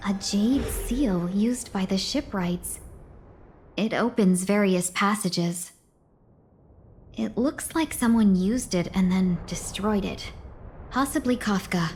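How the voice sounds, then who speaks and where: A woman's voice: medium pitch, slow, a little mellow, a little hiss or hum. A woman voicing a sly, playful, genteel young businesswoman guide in a video game, coy and polished in manner. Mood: intent.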